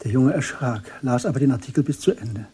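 A man delivering measured, sober scripted narration for a German radio drama in a husky voice. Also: mid-range voice, animated, mellow.

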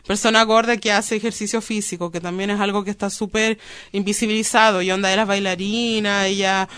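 Woman w clear smooth voice, casual interview chat, slangy, repeats words for emphasis. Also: mid-range voice, steady tone, a little fast, a bit bright. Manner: informal, colloquial, emphatic repetition. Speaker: interview guest, activist.

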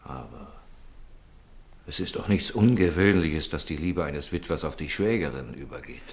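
Courteous gentleman writer in old radio play, deep voice, earnest and eloquent. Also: animated. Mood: sympathetic. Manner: urbane, eloquent, measured. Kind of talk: 1950s German radio drama acting.

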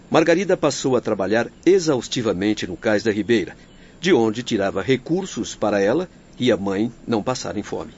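Man acting a grave, low-voiced Brazilian doctor. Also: a little mellow, natural voice. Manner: solemn, measured explanation. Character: grave, compassionate spirit doctor recounting a sad life. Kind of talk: scripted radio drama. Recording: dull lo-fi, faint background noise.

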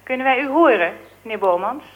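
A woman's voice: on the high side, lively intonation, a little fast, phone-quality sound. A woman hosting a broadcast, asking questions in a conversational way. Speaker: interviewer, broadcast host. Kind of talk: conversational interviewing.

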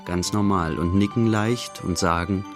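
An actor playing the narrator of a literary radio play, telling an artist's day in measured, short phrases. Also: male voice, low-pitched, over music. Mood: measured narrating. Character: narrator recounting an artist's day in present tense.

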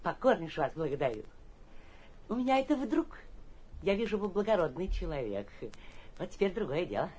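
An actor playing a flighty, cowardly young gentleman from the capital who blusters, stammers and repeats himself in a theatrical radio comedy. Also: high voice, sing-song, fairly quick, pauses often, a little hiss or hum, poor audio. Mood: relieved, gracious. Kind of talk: theatrical radio play acting of a classic comedy.

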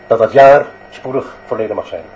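An actor playing a stern, disillusioned headmaster, speaking in grave, clipped declarations in a radio drama monologue. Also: male voice, lowish voice, brisk, lightly acted. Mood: bitter, resigned. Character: stern, disillusioned male headmaster confessing his failure. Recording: dull muffled sound, faint background noise.

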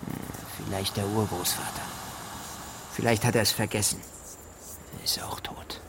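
An actor playing a callous, evasive village gamekeeper in a radio play, gravelly and terse with a grudging, dismissive manner. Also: male voice, fairly low pitch, little pitch movement, measured pace, in a whisper. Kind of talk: German radio drama dialogue. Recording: noisy background ambience.

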